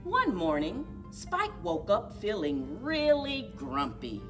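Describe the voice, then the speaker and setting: A woman reading a story aloud to young children at a library story time, in an expressive, deliberate manner. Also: medium pitch, distant mic, over music. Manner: expressive, deliberate, sing-along encouragement.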